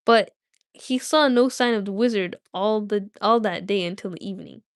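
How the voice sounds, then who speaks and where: A band of gruff male dwarves answers briskly, matter-of-fact as they bustle about, as a woman reads them aloud. The voice sits at a middle height, a little lifted and quick. The recording is dry and close, with no room sound. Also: medium pitch, no echo, lightly acted.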